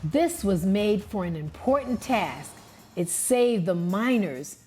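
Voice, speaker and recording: A woman's voice: mid-range voice, lively intonation, slow, dark tone, soft background music. A woman voicing a friendly, helpful male inventor from history in a storybook, welcoming and explanatory. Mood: friendly, proud.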